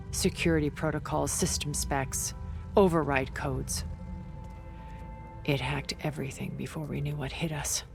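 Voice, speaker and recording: Low, gravelly, world-weary immortal. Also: female voice. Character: cool, world-weary immortal female colonist, worldly and condescending. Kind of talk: video game cutscene acting. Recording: background music.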